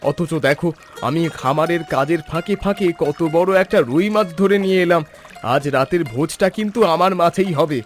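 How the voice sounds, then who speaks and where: A man's voice: fairly high pitch, a little fast, soft background music, lightly acted. A man voicing an earnest, talkative young village man in a cartoon drama. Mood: boastful. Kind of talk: cartoon voice acting.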